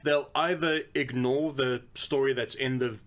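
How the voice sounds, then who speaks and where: A man's voice: mid-range voice, steady tone, a little slow, a bit bright. A man co-hosting a casual podcast, talking bluntly with dry jokes. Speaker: podcast co-host.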